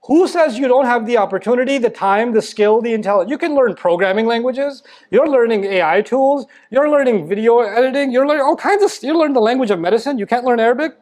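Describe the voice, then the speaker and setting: A man's voice: extremely high pitch, animated, quick pace, hardly any pauses, darkish tone. A man giving a motivational religious lecture, emphatic and blunt, firing rhetorical questions and teasing his listeners. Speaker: Islamic lecturer.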